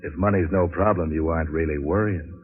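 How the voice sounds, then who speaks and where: An actor playing a cynical, wisecracking private investigator in deadpan, sardonic 1940s radio noir style. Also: male voice, low-pitched, a little fast, a little hiss or hum, close to an everyday voice. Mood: dry, sardonic. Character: cynical, wisecracking hard-boiled male private investigator narrating his case. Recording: muffled old 1940s radio recording, music.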